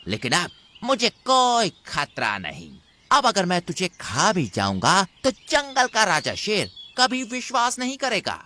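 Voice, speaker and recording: A man's voice: high voice, huge pitch swings, a little slow, pushed and bright, exaggerated voice. A man voicing a cunning, menacing villain wolf in a children's cartoon, boastful and sly in delivery. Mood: gloating. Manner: exaggerated, sly, threatening delivery. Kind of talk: Hindi children's cartoon voice acting.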